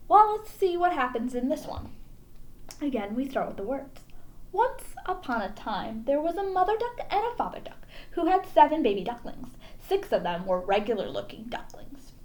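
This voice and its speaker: A woman's voice: high voice, sing-song, normal speed, darkish tone. A woman presenting storytime, reading aloud expressively and explaining in a casual way.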